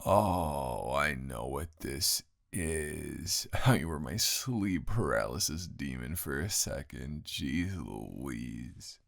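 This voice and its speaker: Deep, creaky-voiced man, grumpy roommate monologue. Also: animated, slow, few pauses, a bit bright, no echo, clean studio sound. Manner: rambling, exasperated, playfully dramatic. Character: grumpy but fond male roommate woken at night. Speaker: audio roleplay performer. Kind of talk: improvised roommate roleplay monologue.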